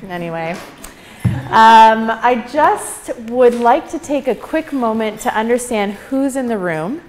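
Loud, projecting woman speaking to a room. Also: fairly high pitch, animated, takes it slowly, rough recording. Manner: loud, projecting without a mic, laughs often. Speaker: guest speaker. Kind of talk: casual talk to a small audience. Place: meeting room with an audience. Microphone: far camera, no microphone.

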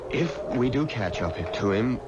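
An actor playing a superstitious, fearful young undertaker's assistant in a radio mystery, earnest, hesitant and pleading. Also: male voice, mid-range voice, animated, measured pace, close to an everyday voice. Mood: frightened, pleading. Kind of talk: 1970s radio mystery acting. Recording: muffled, noisy old radio recording.

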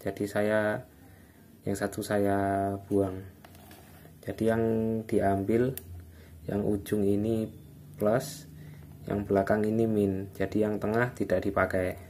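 Slow, low-voiced man. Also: little pitch movement, mellow. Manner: informal, unscripted, halting. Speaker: electronics repair hobbyist giving a tutorial. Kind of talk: casual hands-on tutorial narration. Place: indoors at an electronics workbench. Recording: background noise, phone or camera microphone.

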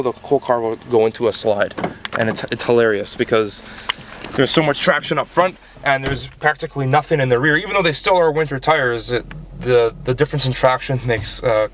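Young canadian guy rambling about his car, lots of ums, faint car noise behind. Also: mid-range voice. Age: young adult. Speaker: vlogger. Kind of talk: casual car vlog chat. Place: inside a small car, later outdoors in a snowy lakeside parking lot.

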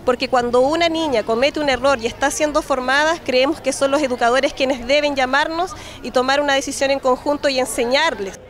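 A woman's voice: high voice, a little fast, few pauses. A young woman delivering a prepared statement outdoors into a handheld mic, fluent and declamatory. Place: outdoors on a city street. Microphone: handheld reporter mic.

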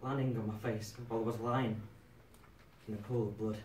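A young man performs a wounded soldier in a theatrical stage monologue, haunted and deliberate. Long dramatic pauses break up the lines. The sound is roomy and distant, with faint noise behind. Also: fairly low pitch, level tone, a little mellow, lightly acted. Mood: haunted, reflective. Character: wounded young soldier recalling losing his legs in battle.

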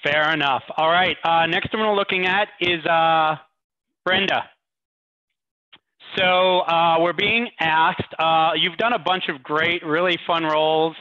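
A man's voice: a little high, fairly even intonation, takes it slowly, bright, forceful tone. A man hosting a charity livestream informally over a video call, with hesitant, appreciative delivery. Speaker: host. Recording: dull video call audio.

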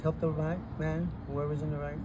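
A man's voice: a little high, fairly quick. A middle-aged man telling his story in a casual street interview, recorded outdoors beside a chain-link fence with a phone camera. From Texas. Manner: animated storytelling with hand gestures. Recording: outdoor street noise with passing cars. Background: traffic and street ambience.

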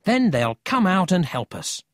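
A narrator voicing a cocky, excitable but friendly city boy in a children's storybook, brash and eager. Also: mid-range voice, unhurried, no room sound, clean studio sound, pitched above the performer's own voice.

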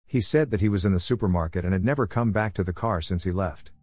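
A man narrating first-person scary stories with dry, wry asides, soft music behind him. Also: deep voice, fast talker, dry recording.

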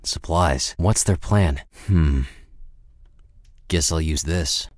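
A cool, world-weary American mercenary for a video game. The voice is very low and recorded dry and close, with no room around it. He speaks in short, understated, deadpan remarks, sounding cynical and unimpressed. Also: slow pace, no echo.

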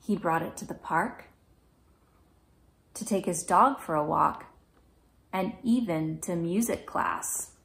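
A woman in her thirties reading a children's picture book aloud in a gentle, measured, clearly phrased way. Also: lowish voice. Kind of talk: children's storybook read-aloud. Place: small indoor room at home.

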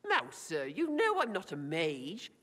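A woman's voice: fairly low pitch, huge pitch swings, unhurried, a bit bright, in a large hall, full character voice. A woman voicing a coy, playfully evasive female elf mage in a fantasy game.

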